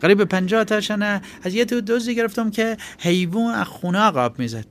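Guy doing a nervous kid bluffing, stammery and squeaky. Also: expressive, fairly bright tone, slight background noise. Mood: reckless bluff. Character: panicky young boy bird smuggler, bluffing.

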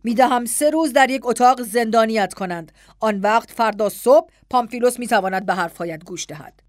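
A woman voicing a stern, composed male master of a household in an audiobook, calm and commanding. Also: fairly high pitch, dry recording, clean studio sound, lightly acted. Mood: quietly threatening. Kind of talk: audiobook dialogue.

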